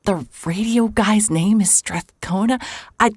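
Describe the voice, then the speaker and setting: A woman's voice: medium pitch, a little mellow, breathy edge. An actress playing a wry, guarded female private investigator in an audio drama, both narrating and in dialogue. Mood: nervous, pleading. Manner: wry, descriptive, halting when cornered. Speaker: narrator and lead character.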